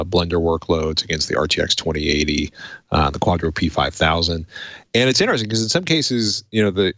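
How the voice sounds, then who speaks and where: Guy hosting a tech podcast, lowish voice, really dry recording. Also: fairly bright tone, dry recording. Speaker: podcast host. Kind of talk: tech podcast talk and host-read sponsor spots.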